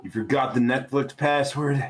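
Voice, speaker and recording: A man playing an anxious, eager young man in a comedy screenplay read, with halting, emphatic delivery. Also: mid-range voice, close to an everyday voice. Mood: upbeat, defensive.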